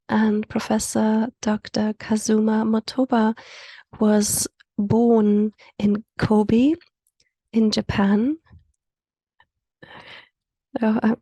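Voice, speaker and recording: A woman from Africa, an Afrikaans speaker, hosting an online community call and introducing guests in a halting way that often drops into a soft whisper. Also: fairly high pitch, vocal fry, husky, no echo. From South Africa. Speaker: host and facilitator.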